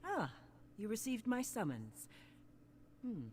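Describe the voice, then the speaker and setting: A woman voicing a commanding, perceptive mentor in a video game, speaking in a formal, deliberate and imperious way. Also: on the high side, huge pitch swings, darkish tone, exaggerated voice. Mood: appraising, satisfied. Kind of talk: video game character acting. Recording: faint background noise and game ambience.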